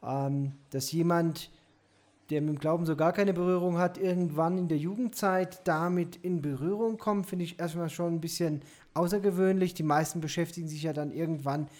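A man hosting an interview podcast over a video call, greeting his listeners warmly and asking measured questions. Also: fairly high pitch, fairly even intonation. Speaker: podcast host.

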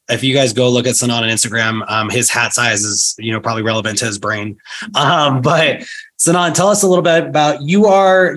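A male podcast host with a voice of middling pitch. He keeps the chat playful and loose, filling his questions with ums and jokes. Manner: playful, joking interviewer with many fillers. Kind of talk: casual chat.

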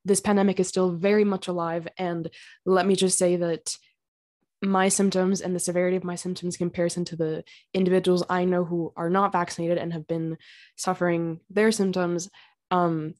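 A young woman hosting her own podcast, talking casually and earnestly, her throat slightly raspy from being sick. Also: fairly low pitch, level tone, moderate pace, a little mellow. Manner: chatty and earnest, with fillers and small laughs. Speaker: podcast host. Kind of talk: casual solo podcast talk. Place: home.

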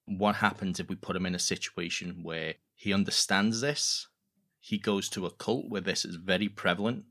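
Guy in his 40s, really low voice, chatting in an interview, kinda halting. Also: a bit bright. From United Kingdom. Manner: halting, repeats words as he thinks. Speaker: guest, audio drama director. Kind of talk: casual interview chat.

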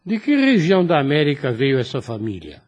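Husky, anxious priest, swinging intonation. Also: male voice, fairly high pitch, huge pitch swings, brisk, a little mellow, lo-fi sound, heavily acted. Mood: guarded unease. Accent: Brazilian. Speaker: radio drama actor. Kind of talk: Brazilian radio soap acting.

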